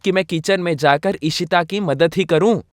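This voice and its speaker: A woman voicing a nervous, defensive young groom in an animated story. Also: on the low side, normal speed, dry recording, clean studio sound, pitched below the performer's own voice, lightly acted. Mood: grumbling.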